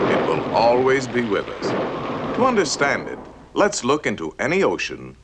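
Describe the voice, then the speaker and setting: Animated male narrator over music. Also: mid-range voice, moderate pace, noisy background. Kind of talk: scripted narration of an animated science film.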